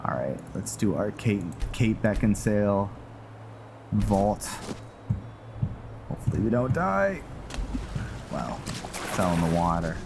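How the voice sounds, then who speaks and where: A man giving casual gameplay commentary, thinking aloud in a rambling, halting way. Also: lowish voice, very animated. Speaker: gameplay video host and role-player. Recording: clear background noise, game ambience. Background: noise and video game ambience.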